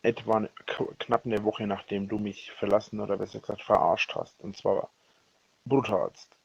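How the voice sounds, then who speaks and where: A man speaking a rambling personal voicemail, halting and often correcting himself, with a husky voice. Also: lowish voice, level tone. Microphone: voice message recorded on a phone. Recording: dull lo-fi leaked voicemail recording.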